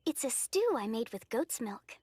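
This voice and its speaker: A woman voicing a gentle, caring, shy young village girl in an English anime dub, with a solicitous, easily flustered manner. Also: fairly high pitch, big pitch swings, normal speed, slightly rough.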